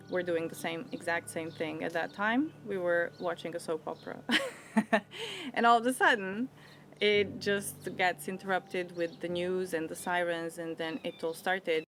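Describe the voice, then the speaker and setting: A woman in her thirties from Serbia with a Serbian accent, whose first language is Serbian. She tells her own story in a reflective, thoughtful way, often restarting her phrases and laughing softly. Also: fairly low pitch, fairly even intonation, unhurried. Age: 30s. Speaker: interviewee. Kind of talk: personal storytelling. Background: music.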